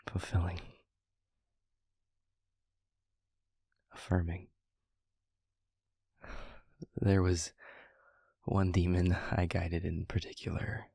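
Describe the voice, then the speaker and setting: A reflective, quietly amused demon mentor, acted by a man, tells his story in a roleplay audio. His voice is low and very breathy, with a gravelly edge, and he speaks softly and haltingly into a close mic. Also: no room sound, heavily acted. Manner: hushed, halting, unhurried, confiding. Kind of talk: intimate roleplay audio monologue, storytelling to a listener. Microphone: close microphone, dry.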